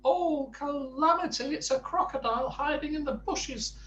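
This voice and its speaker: A man's voice: very high voice, normal speed, fairly bright tone, echoey room, distant mic, dull audio, slight background noise, voice pushed up. A man voicing a hysterically nervous little male storybook creature, shrieking in melodramatic alarm. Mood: panicked shrieking. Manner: melodramatic exclamations.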